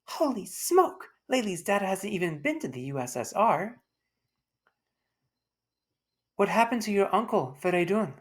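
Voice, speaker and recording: A woman's voice: medium pitch, very animated, moderate pace, voice pushed up, lightly acted. A woman voicing a precocious little girl, eager and wide-eyed with questions, in a storybook. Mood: amazed, curious.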